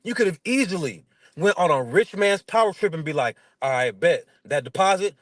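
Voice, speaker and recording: A man in his thirties with a notably high voice, recorded very dry and close. He has a stutter and talks in blunt, emphatic slang, telling stories in a casual interview. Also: animated, fairly quick, a bit bright, dry recording. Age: 30s. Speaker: interview guest. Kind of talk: casual chat, animated storytelling.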